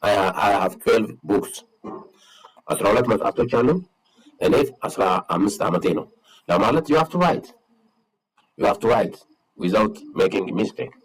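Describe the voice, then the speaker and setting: A man with an Ethiopian accent and a husky voice, teaching a bilingual English lesson and drilling words and phrases slowly. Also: fairly low pitch, expressive, poor audio. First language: Amharic. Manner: switches between Amharic and English, slow drilled English.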